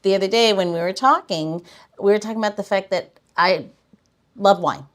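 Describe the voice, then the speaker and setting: A woman's voice: mid-range voice, moderate pace. A woman co-hosting a podcast, chatting casually and reflecting aloud in a rambling, self-correcting way. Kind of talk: casual chat.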